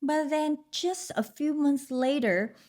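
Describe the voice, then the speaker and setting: Unhurried woman with a Vietnamese accent. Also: high-pitched, darkish tone. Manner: unhurried, with fillers and restarts, laughs often. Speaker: podcast guest, singer. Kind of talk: casual interview chat.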